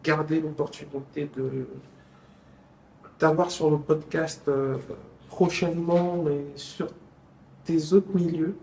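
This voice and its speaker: Gravelly male podcast host, roomy sound. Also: fairly high pitch, steady tone, takes it slowly, pauses often, darkish tone, a little hiss or hum. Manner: hesitant, many fillers, laughs easily. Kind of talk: casual interview chat. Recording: echoey room, mic at a distance.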